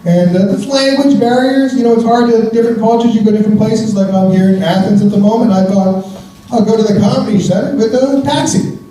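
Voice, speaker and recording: Wry American stand-up comic, roomy stage. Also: male voice, high-pitched, a little fast, dark tone. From United States. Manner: wry, conversational, chuckling at his own jokes. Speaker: stand-up comedian. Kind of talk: stand-up comedy set. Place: theater stage before a live audience. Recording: roomy theater sound, mic at a distance.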